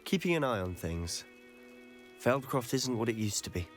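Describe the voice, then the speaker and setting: A man's voice: mid-range voice, sing-song, normal speed, faint music behind, lightly acted. A man playing a watchful, uneasy villager in a game's scripted dialogue. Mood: guarded.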